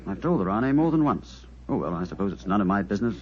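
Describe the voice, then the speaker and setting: A man's voice: lowish voice, big pitch swings, fast talker. A man playing a stuffy, suspicious knight, pompous and huffy, in a 1940s radio drama. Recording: dull, lo-fi 1940s radio recording.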